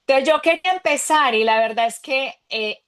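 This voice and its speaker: A woman's voice: sits high, normal speed. A woman, an interventional cardiologist, giving a chatty, enthusiastic talk to colleagues over a video call. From Colombia.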